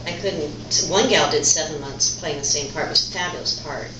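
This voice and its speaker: A woman's voice: sits low, a little fast. A middle-aged actress chatting in a rambling, storytelling way, often restarting her sentences. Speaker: actress guest. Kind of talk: casual conversation, telling stories. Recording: echoey room from far off with noise.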